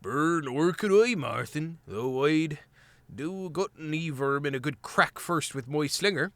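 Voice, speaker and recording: A man's voice: fairly high pitch, lively intonation, unhurried, fairly bright tone, no echo. Man doing a mumbling rustic mole. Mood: gruff.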